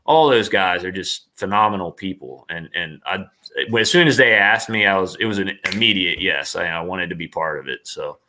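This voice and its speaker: A man with a fairly low voice and a bright, forceful edge. He speaks as a guest in a casual interview, rambling with enthusiasm and doubling back on his phrases. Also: expressive, moderate pace. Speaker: interview guest, online performer.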